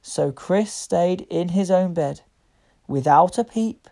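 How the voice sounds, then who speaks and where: A woman reading a picture book aloud to young children, with deliberate, expressive phrasing. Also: fairly low pitch, gravelly. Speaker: children's story reader.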